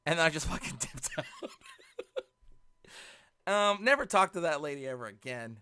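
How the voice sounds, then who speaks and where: A man telling a casual, profane story in an animated way, acting out both sides of the conversations as he hosts. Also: fairly high pitch, normal speed, a little hiss or hum. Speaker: storyteller and host of a live show. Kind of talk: casual, profane anecdote storytelling with acted dialogue.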